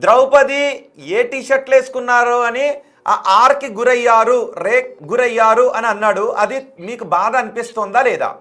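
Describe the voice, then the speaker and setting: A man's voice: very high voice, fairly even intonation, moderate pace, a bit bright. A male news anchor in a heated on-air debate, confrontational and insistent, hammering questions home by repeating them. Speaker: news anchor interviewing a caller.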